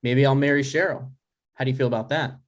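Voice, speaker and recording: A man's voice: mid-range voice, steady tone, fast talker, natural voice. A man playing a neurotic, touchy son in his thirties, argumentative and dry, in a scripted comedy table read. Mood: suspicious. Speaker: writer and actor in a table read.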